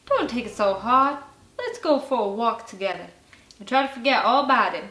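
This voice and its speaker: A woman voicing a sensible, gently teasing father in a bedtime story, with a measured, reasoning manner. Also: fairly high pitch, very animated. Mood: coaxing. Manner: measured, reasoning, mildly doubtful. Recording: roomy sound with faint music behind.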